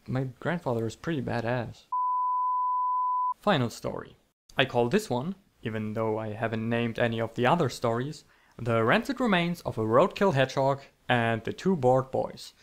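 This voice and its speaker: Young man telling stories with mock-epic flair. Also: medium pitch. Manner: mock-epic, theatrical, self-deprecating asides. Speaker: online storyteller. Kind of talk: comic scripted storytelling narration.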